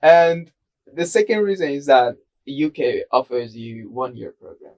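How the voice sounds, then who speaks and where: A young Iranian man chatting casually on a podcast in his second language. He hesitates often, filling pauses and correcting himself mid-sentence. His voice sits at a middle pitch. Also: expressive. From Iran. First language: not English. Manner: hesitant, with many fillers and self-corrections. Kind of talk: casual chat.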